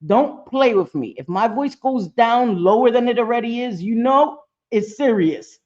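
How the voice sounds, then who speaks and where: Blunt, low-voiced woman on a dull stream. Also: expressive, a little slow, darkish tone. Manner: blunt, profane, laughing often. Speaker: livestream host. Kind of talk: casual reaction commentary.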